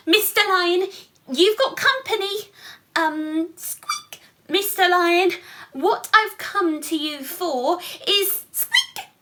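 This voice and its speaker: Woman voicing a tiny squeaky, polite little mouse. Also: pushed and bright, full character voice. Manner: polite, hesitant, eager. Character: tiny timid but plucky friendly male mouse. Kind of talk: children's storybook reading.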